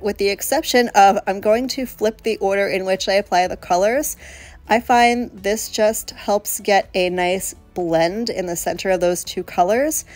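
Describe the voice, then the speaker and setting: A woman's voice: medium pitch, darkish tone, no echo. A middle-aged woman, congested with a cold, chatting casually to viewers in a home tutorial voice-over and poking fun at herself. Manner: chatty, self-deprecating, talks to viewers as y'all. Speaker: nail tutorial host. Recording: dry close voice-over with faint music.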